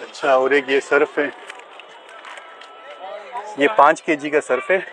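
A man's voice: medium pitch, level tone, takes it slowly. Pakistani stall seller, man, amid market bustle. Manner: salesmanlike, listing items. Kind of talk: product presentation with prices. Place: outdoor covered bazaar stall. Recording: busy outdoor market crowd noise.

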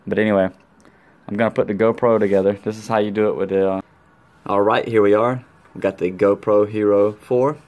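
A man with a fairly low voice walks through gear in a casual vlog tutorial. His talk is colloquial and conversational, dotted with playful verbal sound effects. He speaks indoors in a small room at home. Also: a little mellow. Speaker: motorcycle vlogger demonstrating gear. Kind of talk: casual hands-on tutorial chat.

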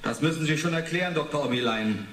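An actor playing a formal, sceptical investigator in a radio drama, matter-of-fact and clipped. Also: male voice, on the high side, fast, a bit bright, close to an everyday voice. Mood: probing. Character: sober, probing official investigator, formal and sceptical. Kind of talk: 1980s German radio drama acting. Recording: roomy sound, faint noise.

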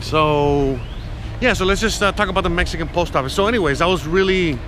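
A man in his thirties talks in a loose, rambling way, stacking fillers as he walks. His voice sits a little high. Traffic and wind roar loudly around the handheld camera mic outdoors. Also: brisk. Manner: rambling and chatty, frequent fillers. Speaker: vlogger. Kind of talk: casual walking vlog. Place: outdoors on a sunny city street. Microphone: action camera held at arm's length. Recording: loud street and wind noise.

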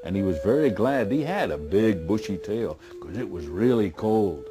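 A middle-aged man telling a folk tale in a folksy, deliberate way with dramatic pauses. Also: on the low side, animated, over music. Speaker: storyteller. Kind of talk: oral folk tale storytelling.

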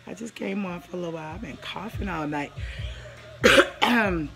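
A hoarse woman in her forties, chatting casually on a live stream from her living room, rambling and greeting viewers by name, with a phone held at arm's length. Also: on the low side, moderate pace, over music. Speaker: livestream host. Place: living room at home, indoors.

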